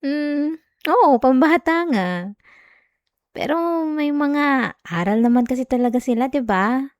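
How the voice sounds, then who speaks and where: Woman acting as a sweet clingy young filipino wife, soft coaxing asmr bedtime voice, super close and dry. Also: sits high, animated, takes it slowly, dry recording. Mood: fond, reminiscing. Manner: soft, giggly, affectionate, coaxing. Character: sweet, clingy, teasing young wife soothing her partner. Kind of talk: intimate ASMR roleplay, bedtime chat and story reading. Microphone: close microphone, dry sound.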